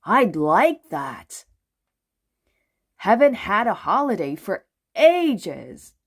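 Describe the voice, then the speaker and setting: A woman voicing a hurried, excitable cartoon man in a children's storybook, with an expressive, exaggerated delivery. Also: mid-range voice, exaggerated voice. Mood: wistful. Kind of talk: children's storybook read-aloud.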